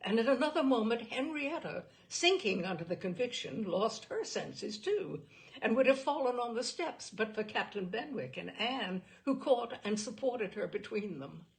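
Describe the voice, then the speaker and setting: A woman reading a classic novel aloud as an audiobook narrator, with expressive, dramatic and deliberate phrasing. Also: fairly high pitch, creaky, poor audio.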